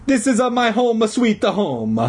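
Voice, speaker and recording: A man voicing a menacing, defensive little Italian janitor in his fifties from a storybook, hoarse and halting. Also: very high voice, big pitch swings, a little mellow, pitched above the performer's own voice, full character voice. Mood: angry, menacing. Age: 50s. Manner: halting, stammering delivery.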